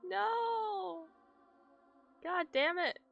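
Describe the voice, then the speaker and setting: A woman livestreaming a game with casual, expressive commentary full of swearing and exaggerated dismay. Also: very high-pitched, very slow, vocal fry, lo-fi sound. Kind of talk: casual gaming commentary with read-aloud game text. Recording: game music faintly behind. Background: video game music.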